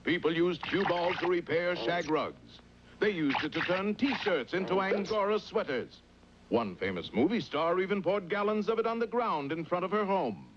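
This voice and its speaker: A man's voice: high voice, lively intonation, normal speed, fairly bright tone. A man giving mock-dramatic scripted narration for a cartoon. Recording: muffled, noisy old cartoon soundtrack.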